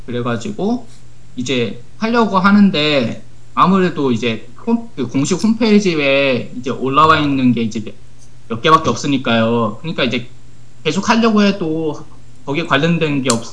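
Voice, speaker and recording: Bright-voiced young man, slightly high. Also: sing-song, normal speed. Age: teens, high school student. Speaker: guest, student programmer. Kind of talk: casual talk show conversation, recounting an anecdote.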